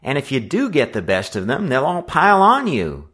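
Storybook boy, performed by a man, anxious, cautious, urgent, raised pitch. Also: wildly swooping pitch, normal speed, full character voice. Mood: worried, urgent. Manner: hushed, urgent, pleading. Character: anxious cautious boys, friends urging retreat.

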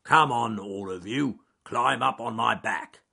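A man doing a slow, growly big bear. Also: medium pitch, vocal fry, bright, forceful tone, breathy edge, full character voice. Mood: ponderous.

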